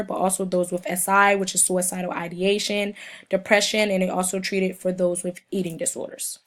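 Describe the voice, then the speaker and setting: Young woman, hoarse with a cold, mid-pitched, reading a class presentation off her notes. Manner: reads from prepared notes, halting in places. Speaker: student presenter.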